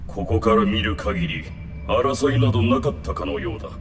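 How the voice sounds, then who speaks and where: An actor voicing a gruff, battle-weary soldier in a scripted game line. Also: male voice, mid-range voice, normal speed, faint music behind, close to an everyday voice. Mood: sober, reflective.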